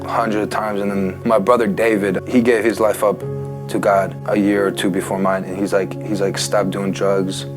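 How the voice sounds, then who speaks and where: Low, flat-voiced young man. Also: music in the background. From Ukraine. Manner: halting, filler-heavy speech with many restarts. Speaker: interview guest sharing testimony. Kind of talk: casual personal testimony.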